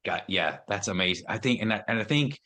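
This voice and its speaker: A man's voice: fairly low pitch, lively intonation, brisk. A man hosting a podcast interview, responding with affirming murmurs and asking conversational questions. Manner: affirming, with soft listening murmurs. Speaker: podcast host.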